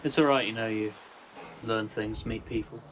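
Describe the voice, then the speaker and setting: A man's voice: on the low side, steady tone, moderate pace, a little hiss or hum, close to an everyday voice, rough recording. An actor playing a reticent young male student in casual film dialogue, understated and noncommittal. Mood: guarded. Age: young adult.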